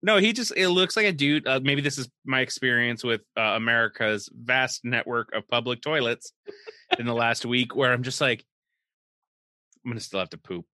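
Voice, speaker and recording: A man's voice: medium pitch, moderate pace, sharp tone, no room sound, studio quality. A man co-hosting a podcast, joking sarcastically and rambling as he picks apart a movie poster. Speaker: podcast co-host. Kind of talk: casual comedic chat reviewing a movie poster.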